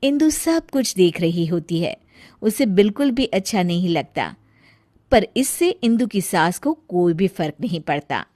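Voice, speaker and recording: A woman's voice: lowish voice, very animated, a little slow, warm tone, no room sound, studio quality. A woman narrating a scripted cartoon family story.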